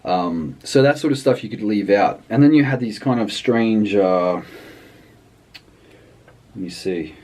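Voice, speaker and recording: A man's voice: on the low side, slow, pauses often, a little mellow. A man giving a casual, unscripted review in a hesitant, rambling way, often trailing off mid-thought. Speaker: language book reviewer.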